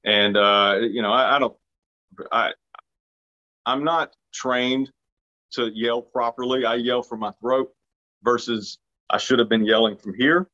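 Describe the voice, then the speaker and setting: A man's voice: medium pitch, steady tone, measured pace, long pauses, pushed and bright. A man from Arkansas, an actor being interviewed, talking casually with frequent restarts and self-deprecating remarks. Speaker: actor guest. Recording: dull lo-fi sound.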